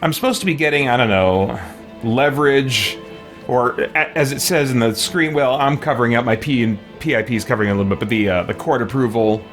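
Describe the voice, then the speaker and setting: A man's voice: medium pitch, a little fast, fairly bright tone. A man giving casual gameplay commentary on a livestream, chatty and rambling as he thinks aloud. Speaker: livestreamer playing a video game. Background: game music.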